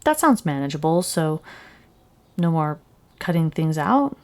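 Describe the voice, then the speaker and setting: A woman's voice: deep voice, very animated, unhurried, a little mellow, heavily acted. A woman playing an anxious, worn-out thirty-nine-year-old female client in a mock counseling roleplay, speaking in a weary, hesitant way.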